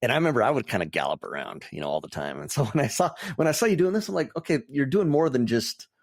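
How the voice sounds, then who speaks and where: A man's voice: mid-range voice, big pitch swings, quick pace. Enthusiastic male podcast host. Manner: enthusiastic, rambling, warmly encouraging. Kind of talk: casual interview.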